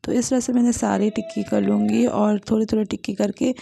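A woman hosting a home cooking channel, talking through each step of a recipe in a plain, unhurried way with small fillers. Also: medium pitch, steady tone, creaky, mellow. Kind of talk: home cooking tutorial voice-over.

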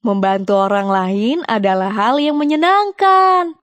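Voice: medium pitch, measured pace, a bit bright, no echo, natural voice. An actor voicing a cute, cheerful young panda who guides toddlers with encouraging, simple phrases in an educational cartoon. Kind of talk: children's educational cartoon presenting. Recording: music behind the voice.

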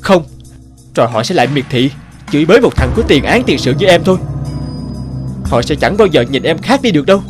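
An actor playing a troubled, remorseful young male ex-convict student in an animated drama. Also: on the high side, fairly quick, pauses often, music in the background.

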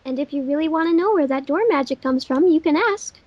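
Woman, extremely high pitch, dull lo-fi sound, casual commentary chat. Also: quick pace, no room sound. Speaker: commentary co-host. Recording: dull lo-fi mic with faint hiss.

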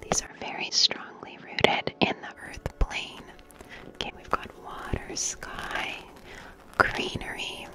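Young female ASMR artist, breathy close-mic whisper, slow soothing delivery as a gentle art teacher. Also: low-quality recording. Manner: soft, soothing, gentle, repeating phrases. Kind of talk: ASMR roleplay, personal attention. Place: small bright plant-filled room indoors. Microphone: close studio microphone with fluffy cover.

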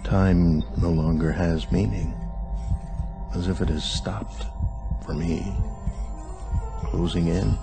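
Brooding male astronaut, halting radio-drama inner monologue, low gravelly voice. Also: fairly even intonation, music in the background, full character voice. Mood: bleak, musing. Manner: halting, deliberate, ponderous phrasing. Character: weary male astronaut in suspended sleep, brooding, introspective.

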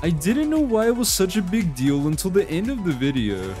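High-pitched, animated man narrating over music. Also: brisk. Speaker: video compilation narrator. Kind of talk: scripted reaction narration.

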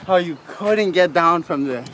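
Young adult male, slightly high pitch, profane casual banter, recorded on a camera in outdoor noise. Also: expressive, measured pace, a bit bright. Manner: slangy, profane. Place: outdoors among trees and concrete ruins. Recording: noisy outdoor camera audio.

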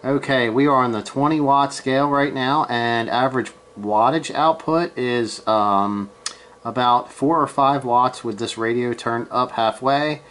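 A man with a mid-range voice talks slowly through a piece of hobby gear. He is casual and unscripted, halting as he thinks aloud. He speaks from behind the camera in a small room at home. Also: mid-range voice, fairly bright tone. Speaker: amateur radio hobbyist, presenter. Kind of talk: casual hands-on gear demonstration.

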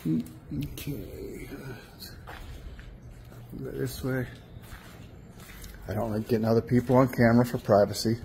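A man's voice: medium pitch, steady tone, measured pace, creaky, pauses often, darkish tone, slight background noise. A man narrating casually while walking through an abandoned industrial site, plain-spoken and correcting himself as he goes. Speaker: urbex vlogger. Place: outdoors and in a large abandoned industrial building.

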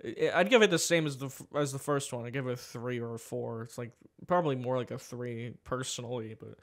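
A man's voice: mid-range voice, brisk. A young man hosting a podcast in casual chat, profane and rambling, laughing often. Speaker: podcast host.

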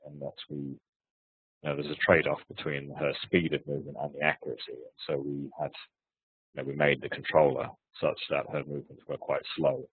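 Guy, super deep and husky, kinda hoarse, lots of ums, tinny call audio. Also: flat delivery, a little fast, dry recording. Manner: hesitant, many restarts and fillers. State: hoarse from hay fever. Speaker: research presenter. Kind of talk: webinar talk and Q&A answers. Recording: tinny phone-like webinar audio.

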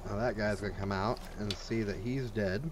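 Guy with a lowish voice doing casual gameplay commentary, blunt n sweary, bit of noise. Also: fairly even intonation, moderate pace. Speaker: gamer reviewing a game mod.

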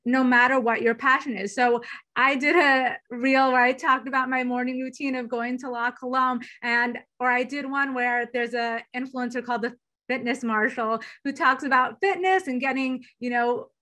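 A woman in her thirties talking as a guest in a fireside chat over a video call from a small room, upbeat and enthusiastic. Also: sits high. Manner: upbeat, enthusiastic, quick and lively. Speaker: platform executive guest. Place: small bedroom indoors. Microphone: laptop webcam video call.

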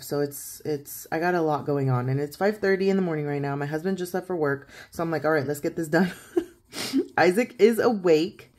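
Croaky-voiced woman in her thirties counting cash. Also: low-pitched. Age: 30s. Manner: chatty, counts out loud, laughs easily. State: croaky morning voice. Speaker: savings challenge vlogger. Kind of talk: casual chat while counting cash. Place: home desk, indoors.

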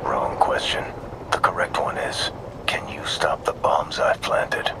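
An actor playing a cold, calculating male mercenary in a video game, speaking in a low raspy whisper with a calm, condescending menace. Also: measured pace. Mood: menacing calm. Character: cold professional male mercenary taunting a hero. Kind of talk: video game cutscene voice acting.

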